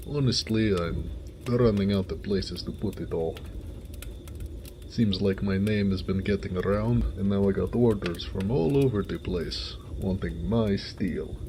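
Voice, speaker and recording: A man playing a big, warm-hearted, jovial orc blacksmith in an affectionate, folksy, one-sided romantic audio roleplay. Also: fairly low pitch, a little hiss or hum. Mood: proud, relaxed.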